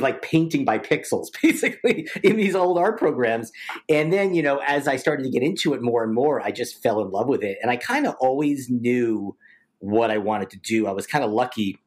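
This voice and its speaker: Eager man chatting in an interview. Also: mid-range voice. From New York. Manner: eager, rambling, many fillers and restarts. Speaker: interview guest, visual effects artist. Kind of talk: casual interview chat.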